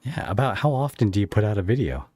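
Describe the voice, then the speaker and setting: A man's voice: fairly low pitch, sing-song, fast talker, a little mellow, husky. A man hosting a casual livestream podcast interview, effusive and given to rambling as he talks with his guest.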